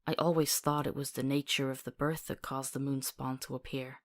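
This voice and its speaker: Woman acting, low-pitched female fantasy companion, compassionate, sharp-tongued, earnest, articulate, visual novel drama. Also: flat delivery, fairly quick. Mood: somber, reflective.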